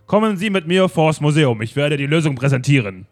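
A man's voice: a little high, animated, a little fast, a little mellow, pitched above the performer's own voice, close to an everyday voice. A man improvising a smug male detective who drops French words into his lines. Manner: dry, self-assured, drops French words. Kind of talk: improvised radio play.

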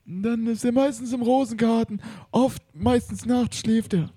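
Man playing a very high, husky English butler. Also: steady tone, a little slow, mellow, no room sound, full character voice. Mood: servile, rambling. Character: eager, rambling English butler of an art collector. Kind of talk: improvised comic audio drama.

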